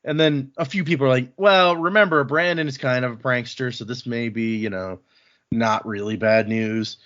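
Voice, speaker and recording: A man's voice: medium pitch, normal speed, fairly bright tone, muffled recording. A man chatting casually as a podcast host.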